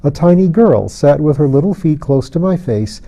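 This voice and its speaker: A man reading a fantasy novel aloud as an audiobook narrator, in a measured storytelling manner. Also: on the high side, animated, mellow.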